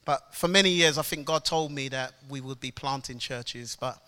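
A man in his fifties preaching a sermon as a guest pastor. He moves between loud, fervent worship calls and chatty storytelling with laughs. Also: mid-range voice, moderate pace. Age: 50s.

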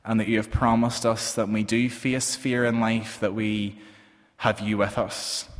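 A man leading a congregation in prayer and reading poetry aloud, speaking in a slow, gentle, measured way. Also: fairly low pitch. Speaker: worship leader. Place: church hall with congregation. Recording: long hall reverb.

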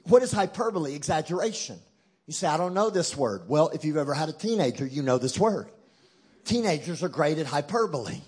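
Texan male preacher, slightly high voice, husky, punchy and playful with the crowd. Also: expressive, fairly quick, darkish tone. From Texas. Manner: emphatic, joking, call-and-response with the crowd. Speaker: guest preacher. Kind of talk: sermon.

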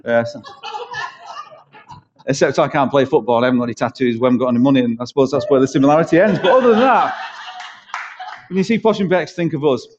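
A man's voice: mid-range voice, fast, long pauses, muffled recording, background noise. A middle-aged man with a Manchester accent, preaching a sermon as a guest church leader, chatty and self-deprecating, with jokes, asides and rhetorical questions. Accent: Mancunian. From East Manchester, England.

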